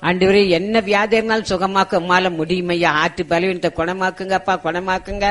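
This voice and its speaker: High-pitched man pleading in fervent prayer. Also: flat delivery, fairly quick, fairly bright tone. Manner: pleading, repeats phrases urgently. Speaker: prayer leader.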